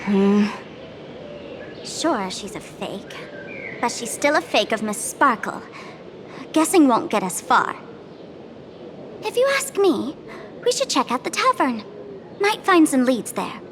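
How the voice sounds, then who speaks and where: A woman's voice: fairly high pitch, very animated, slow, pauses often, a bit bright, slight background noise, heavily acted. An actress playing a mischievous, smugly self-assured young woman trickster in a video game, with a playful, theatrical manner. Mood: smug, sly. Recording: studio voice over game music.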